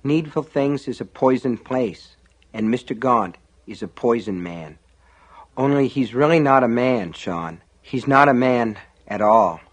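A man's voice: mid-range voice, lively intonation, a little slow. A man voicing a despairing boy, an older brother in a story, with a solemn, insistent and detached manner. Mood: solemn insistence.

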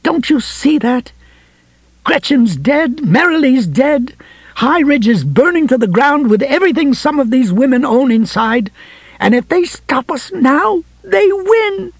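A man's voice: very high voice, very animated, measured pace, vocal fry, no room sound, pitched above the performer's own voice, exaggerated voice. A man voicing a distraught, furious woman in an audiobook story, fierce and tearful. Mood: fierce anger. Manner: fierce, tearful, screaming when roused. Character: distraught, furious young mother, grieving friend turned fanatical.

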